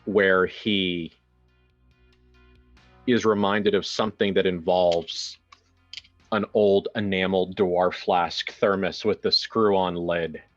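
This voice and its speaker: Male tabletop storyteller, lower register, halting improvised delivery, slightly lo-fi sound with music underneath. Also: steady tone. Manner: halting, searching for words with repeated phrases. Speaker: tabletop role-player narrating his character. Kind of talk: improvised game narration. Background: background music.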